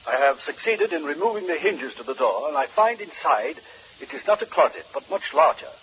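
Formal, precise investigator, muffled. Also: male voice, a little high, big pitch swings. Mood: grave, intent. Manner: formal, precise, deliberate, pedantic. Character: methodical, formal doctor investigating a haunted house, reporting findings. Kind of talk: old-time radio horror drama acting. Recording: muffled old radio recording, background hiss.

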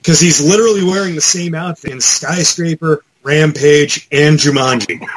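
A man's voice: a little high, normal speed, slightly rough, rough recording. A man talking casually and with strong opinions as a podcast host in a pop-culture discussion.

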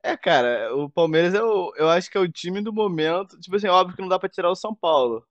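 A man's voice: sits high, animated, fast talker, no room sound, muffled recording. A Brazilian man in a casual football chat, giving his opinions as a commentator in slangy everyday speech.

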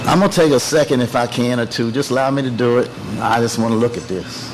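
An older man from Tennessee, giving an emotional, halting speech at the unveiling of his artwork. He often repeats phrases and pauses to collect himself. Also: medium pitch, background noise. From Tennessee, United States. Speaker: artist unveiling his work.

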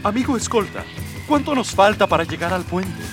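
Earnest high-voiced rebel prince. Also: male voice, lively intonation, fairly quick, recorded from a distance, music in the background. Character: idealistic young rebel prince, earnest and exasperated. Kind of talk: radio drama acting.

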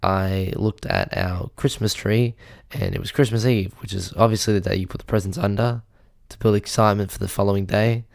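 A young man with a hoarse voice hosting a podcast, delivering a rambling, deadpan, self-pitying comedic monologue into a mic with a pop filter. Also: lowish voice, a little fast, dry recording, studio quality. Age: young adult. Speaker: podcast host.

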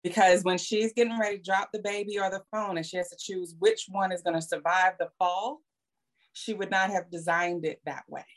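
Low-pitched middle-aged woman explaining emphatically. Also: steady tone, a little fast. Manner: emphatic, rhetorical questions and "right?" tags. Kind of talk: conversational discussion, explaining at length.

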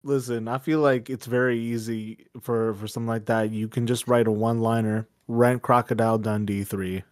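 A man with a medium-pitched voice hosts a casual podcast discussion. He steers the group conversationally. His recording is very dry, with no room sound. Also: flat delivery, normal speed, darkish tone, no echo. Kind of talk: casual chat with some scripted reading.

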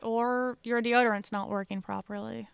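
A woman's voice: fairly high pitch, fairly even intonation, moderate pace, gravelly, smooth, no echo. A woman co-hosting a podcast, chatting casually in an easygoing, agreeable way. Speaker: podcast co-host. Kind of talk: casual chat.

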